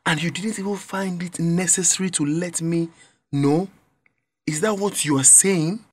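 A man's voice: on the high side, unhurried, slightly rough, no room sound. A man playing a betrayed, hot-tempered young friend with a Nigerian accent, confrontational and exclamatory in a radio drama. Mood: angry.

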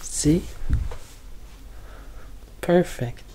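A soft-spoken young man in an ASMR roleplay as a quirky, deadpan doctor, hesitant and halting, sometimes drifting toward a whisper. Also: a little hiss or hum. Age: young adult. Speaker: ASMR performer playing a bumbling doctor. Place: small curtained room at home, indoors.

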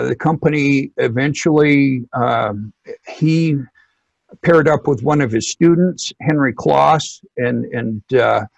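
A middle-aged man speaks slowly and haltingly, as an expert guest explaining things informally. His voice is heavily creaky and husky, with frequent pauses. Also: mid-range voice, dry recording. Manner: halting, hesitant delivery with many pauses. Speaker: loudspeaker company technical director, interview guest.

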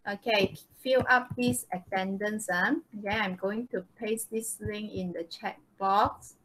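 A woman's voice: fairly high pitch, fairly bright tone, a little hiss or hum, low-quality recording. A woman with a Malaysian accent teaching a live online English lesson, informal and often checking with short tag questions. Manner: informal, checks with "okay" and "right?". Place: online video call, presenting slides.

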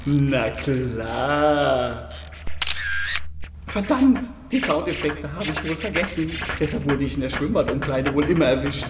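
A man playing an eager, naive film nerd in space, excitable, in an amateur audio drama. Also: a little high, measured pace, heavy background noise. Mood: awed. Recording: echoey, distant, music underneath.

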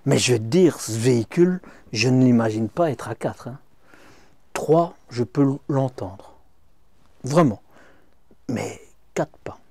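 A man's voice: mid-range voice, lively intonation, slow pace, long pauses, dark tone, slightly rough, dry recording. Chatty middle-aged man touring a camper van. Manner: chatty, addresses viewer directly, frequent hop-hop and hein. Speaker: motorhome reviewer. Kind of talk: casual walkthrough vlog. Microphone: small clip-on mic.

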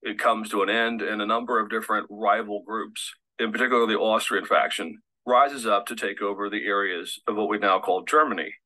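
Give me a man with a low, bright voice delivering a polished recorded lecture. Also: fairly even intonation, fairly quick, few pauses, poor audio.

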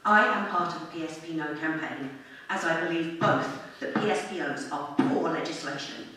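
A woman's voice: low-pitched, moderate pace, sharp tone. A middle-aged woman reads a prepared public address in an earnest, formal, articulate way. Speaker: member of the public addressing a council. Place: council meeting chamber. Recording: very echoey room, distant mic, faint noise.

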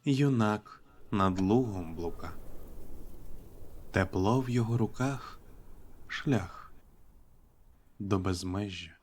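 A man playing a gentle, weary young wanderer who speaks slow, poetic lines in a hushed, lyrical manner for a game. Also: on the low side, a little hiss or hum. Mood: lyrical, wistful.

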